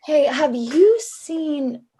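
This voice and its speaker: Young female assistant, acted by a woman, wry and guarded, slightly high conversational voice. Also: animated, drawn-out words, warm tone, a little hiss or hum, full character voice. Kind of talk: acting in a table read.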